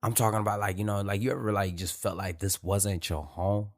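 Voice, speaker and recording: Man, very low voice, rambling unscripted podcast host, slangy and profane. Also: little pitch movement, brisk. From Detroit, USA. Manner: loose, rambling, peppered with "you feel me" and profanity. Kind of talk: unscripted casual monologue.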